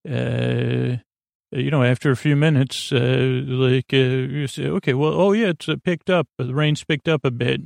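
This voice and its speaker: A man with a creaky voice hosting a podcast, rambling in a halting, gently goofy bedtime monologue meant to help people drift off to sleep. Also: medium pitch, fairly even intonation, no room sound, clean studio sound. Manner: meandering, halting, often trailing off and repeating words. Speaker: podcast host.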